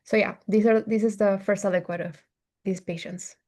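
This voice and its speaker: A woman from Peru walks listeners through a clinical case in a measured, methodical way. Her voice sits at a middle pitch, with nothing unusual about it. It sounds like she is speaking over a video call. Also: steady tone, mellow. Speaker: case presenter, physician applying to neurology.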